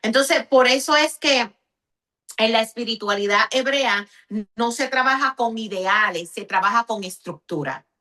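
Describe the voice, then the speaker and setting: Higher-pitched Puerto Rican woman, explanatory. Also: fairly bright tone. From Puerto Rico. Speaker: pastor and guest teacher. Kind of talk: conversational religious teaching in an interview.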